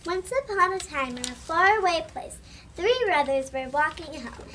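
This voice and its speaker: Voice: extremely high pitch, lively intonation, moderate pace, a loud crowd. A child reading scripted storybook narration aloud. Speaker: student narrator. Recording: faint room noise.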